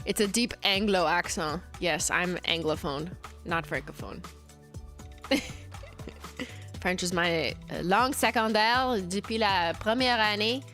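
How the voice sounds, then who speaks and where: Canadian girl hosting a live, sick, voice going, low-ish and chatty, laughs a lot. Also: fairly bright tone. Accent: Canadian English. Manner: chatty, laughs often, switches between English and French. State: sick, losing her voice. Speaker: livestream host, brand founder. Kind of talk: casual livestream chat.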